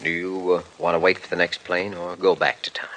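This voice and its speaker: An actor playing a calm, courteous young male doctor in a 1940s radio drama. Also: low voice, a little fast, rough recording. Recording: old radio broadcast with faint hiss.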